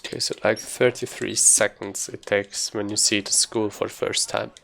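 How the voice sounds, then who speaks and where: A man's voice: fairly low pitch, level tone, normal speed, vocal fry, fairly bright tone, a little hiss or hum. A man giving casual, explanatory gameplay commentary as a speedrunner.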